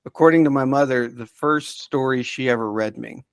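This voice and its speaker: Casting: man, podcast expert, casual and rambling, hesitant phrasing, medium pitch, dry sound. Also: moderate pace, no echo. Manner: hesitant, rambling, self-interrupting. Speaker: podcast subject-matter expert. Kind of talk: casual chat.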